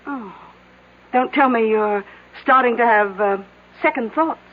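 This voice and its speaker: A woman's voice: a little high, a little slow. An actress with an American accent playing an earnest, plucky small-town woman in an old-time radio drama, on a narrow, dated recording. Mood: determined.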